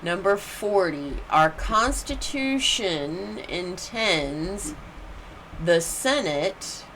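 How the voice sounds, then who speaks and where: A woman leading a class, reading study material aloud phrase by phrase in a deliberate way with brief informal asides. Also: fairly low pitch, lively intonation, fairly bright tone, slight background noise, rough recording. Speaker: class leader reading numbered study questions and answers.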